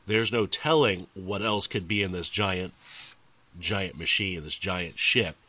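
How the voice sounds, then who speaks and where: A man's voice: sits low, measured pace, bright, forceful tone. A man on a gaming podcast, earnestly explaining at length. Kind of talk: casual gaming lore discussion.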